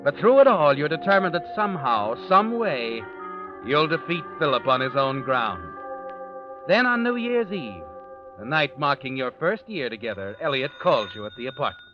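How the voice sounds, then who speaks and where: An actor playing a knowing, ominous narrator in old-time radio suspense, dramatic and insinuating. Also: male voice, fairly high pitch, very animated, moderate pace. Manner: dramatic, insinuating, addresses listener as you. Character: knowing, ominous narrator addressing the heroine's conscience. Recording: dull old radio recording, music behind. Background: dramatic music.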